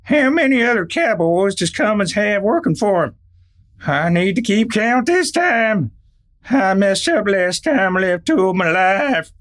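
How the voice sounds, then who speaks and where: A man's voice: high voice, very animated, measured pace, a bit bright, full character voice. A man playing a ruthless outlaw leader giving bossy orders in a folksy cowboy drawl in a Western radio drama. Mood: cold, bossy.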